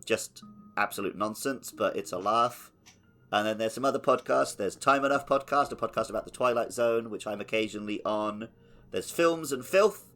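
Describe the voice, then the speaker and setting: Low-voiced man co-hosting a podcast. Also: fairly bright tone. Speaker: podcast co-host. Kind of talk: casual podcast chat about a video game.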